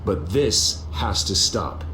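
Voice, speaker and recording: A wealthy vigilante from a dramatic video game, brooding and determined. His very deep voice is guarded and clipped in phrasing, set in an echoey space with music underneath. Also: lively intonation, slow pace. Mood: tense, confrontational. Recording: roomy echo, music underneath. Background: game score music.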